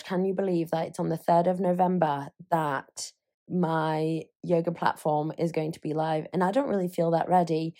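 Slightly croaky woman, rambling. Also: fairly low pitch, darkish tone. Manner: rambling, self-mocking, loses her train of thought. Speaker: podcast host. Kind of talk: casual solo chat.

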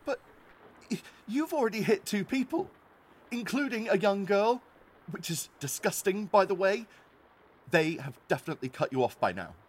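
An actor playing a sanctimonious young activist influencer held captive, preachy and indignant, in an audio drama. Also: male voice, high-pitched, big pitch swings, normal speed.

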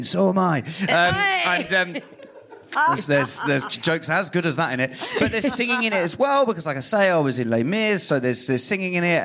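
Lively chatty man. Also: high voice, creaky. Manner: chatty, self-mocking, full of fillers and restarts. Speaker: comedian and impressionist guest. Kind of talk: casual live podcast chat. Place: live club stage with audience.

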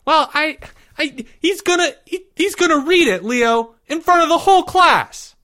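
A man's voice: extremely high pitch, lively intonation, bright, forceful tone, pitched above the performer's own voice, exaggerated voice. A man voicing a timid, anxious young boy, loyal and stammering, in a storybook reading. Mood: nervous, pleading. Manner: stammering, halting.

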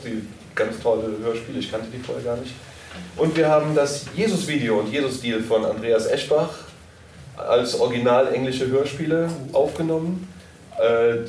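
A man's voice: mid-range voice, darkish tone. A man answering questions informally as an audio drama producer at a live event. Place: indoor convention hall, live event. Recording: echoey, noisy room, far from mic.

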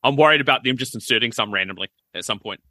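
Bright-voiced man, dry close sound. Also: medium pitch, rapid-fire, no echo. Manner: chatty, wry, explains at length. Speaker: podcast co-host. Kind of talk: casual chat.

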